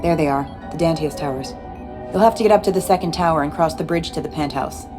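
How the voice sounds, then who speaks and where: A woman's voice: deep voice, fast, music in the background, natural voice. A woman playing a tough, cynical former security chief in video game dialogue, blunt and guarded. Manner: blunt, matter-of-fact.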